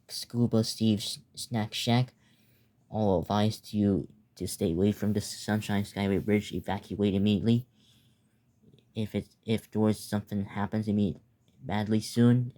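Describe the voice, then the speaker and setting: A young man narrating a mock emergency announcement in a halting way, stumbling and repeating words. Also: fairly low pitch, dark tone. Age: teenager or young adult.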